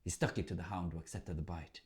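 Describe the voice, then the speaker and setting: A man with a fairly low voice tells a folk tale. His narration is theatrical and measured, shaped like spoken storytelling for listeners. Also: steady tone, darkish tone.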